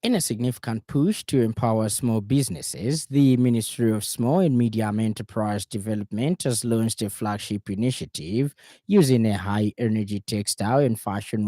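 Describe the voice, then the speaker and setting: Man, radio newsreader, lowish pitch, dark warm tone, formal delivery. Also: dry recording, studio quality. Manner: formal, measured announcing. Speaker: radio news reader. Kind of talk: scripted news bulletin reading. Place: radio studio.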